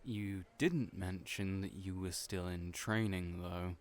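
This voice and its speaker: A man acting in an audio roleplay as a kind, gentle, slightly awkward friend, hesitant and understated in manner. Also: low-pitched, steady tone, takes it slowly. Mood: dry. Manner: hesitant, soft-hearted, understated. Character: kind, awkward, gentle male friend, guarded about past heartbreak.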